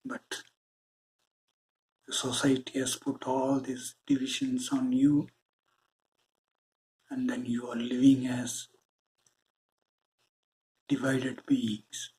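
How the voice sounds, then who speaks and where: A man with an Indian English accent delivers a spiritual talk at an unhurried pace. His voice is dark and mellow, and he speaks with earnest, deliberate pauses between phrases. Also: mid-range voice, completely flat delivery, a little hiss or hum, low-quality recording. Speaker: spiritual channel host. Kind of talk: spiritual monologue.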